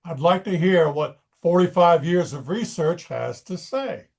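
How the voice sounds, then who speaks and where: A man's voice: on the high side, animated, unhurried. A man playing a condescending, impatient audience member at a screenplay table read.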